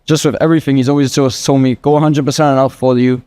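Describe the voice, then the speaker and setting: A man's voice: medium pitch, flat delivery, very fast, mellow, smooth. A man of twenty answering interview questions in a casual, earnest way, with lots of filler words. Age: 20s. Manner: earnest, rambling with frequent "like" fillers. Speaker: guest trader.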